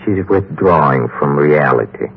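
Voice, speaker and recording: An actor as a sinister radio horror host, narrating in a menacing, halting, teasing way. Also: male voice, rumbling low, expressive, creaky, a little hiss or hum, exaggerated voice. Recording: tinny 1950s radio recording.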